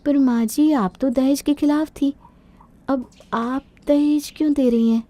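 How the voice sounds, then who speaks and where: A woman plays a young daughter-in-law in a cartoon moral tale. Her voice is very high, with a timid, hesitant manner and a hurt, aggrieved edge. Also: fairly even intonation, measured pace, dark tone. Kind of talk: Hindi cartoon moral story acting.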